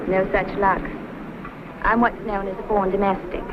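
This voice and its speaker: A woman's voice: medium pitch, flat delivery, moderate pace, close to an everyday voice. An actress plays a young English domestic with starry ambitions and a wisecracking manner. She sounds like an early sixties B-movie, dull in tone with faint noise behind her. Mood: wry, chatty. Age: young adult. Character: wisecracking young English woman, brassy would-be star turned maid. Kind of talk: 1960s B-movie acting. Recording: slightly noisy, muffled old film soundtrack.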